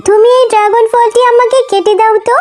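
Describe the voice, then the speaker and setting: A woman's voice: very high-pitched, steady tone, brisk. A woman voicing a sweet, earnest little fox child, childlike and coaxing, in a children's cartoon story. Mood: excited. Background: music.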